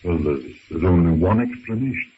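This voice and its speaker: Lively proper gentleman castaway, old radio. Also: sits low, a little fast. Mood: solemn, puzzled. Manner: formal, genteel, theatrical phrasing. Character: proper, earnest English gentleman castaway in the jungle. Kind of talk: old-time radio adventure serial acting. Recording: dull, hissy old radio recording.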